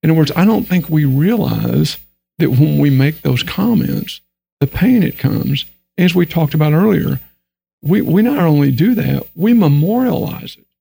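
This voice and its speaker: A Texan man speaks earnestly on a panel, his delivery emphatic. His voice is heavily gravelly and husky, and sits a little high for a man. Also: lively intonation, moderate pace, dark tone. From Texas. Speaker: panel guest. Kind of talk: candid discussion panel talk.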